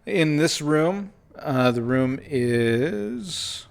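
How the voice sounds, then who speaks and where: A man narrating a tabletop game as game master, improvising in halting phrases with pauses mid-sentence. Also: fairly high pitch, animated, gravelly.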